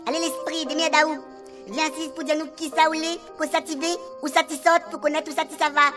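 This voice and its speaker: A woman's voice: high-pitched, little pitch movement, brisk, lightly acted. A woman playing a cheeky, swaggering medium with a Réunion Creole accent in a comic radio serial. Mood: brash. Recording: music under the voice.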